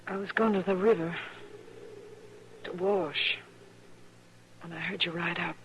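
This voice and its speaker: An actress playing an anxious but defiant American frontier wife in a western radio drama. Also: female voice, on the low side, fairly even intonation, a little slow, a bit bright. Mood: subdued, regretful. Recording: noisy old radio recording.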